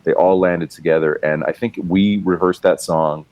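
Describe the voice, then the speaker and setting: A man's voice: sits low, fairly even intonation, moderate pace, darkish tone, no echo. A man guest on a podcast, telling a rambling, laid-back story about writing a song, with casual swearing, over a remote call. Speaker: guest musician and writer. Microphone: remote call mic.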